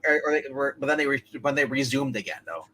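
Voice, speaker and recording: A man co-hosting a casual comics talk show, halting and restarting often as he talks. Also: medium pitch, animated, pushed and bright. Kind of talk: casual chat.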